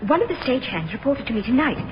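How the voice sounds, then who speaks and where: A woman's voice: sits high, very animated, fast. An actress playing an anxious, deferential woman of a theatre troupe, earnest, in 1950s radio drama style. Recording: muffled, noisy old radio recording.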